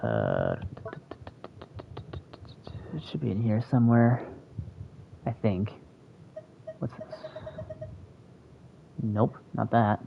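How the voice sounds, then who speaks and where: A man's voice: lowish voice, wildly swooping pitch, very slow, vocal fry, pauses often, slight background noise. A man doing casual livestream gameplay commentary, muttering and thinking aloud to himself. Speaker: game streamer. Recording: dull lo-fi microphone, music behind. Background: game music.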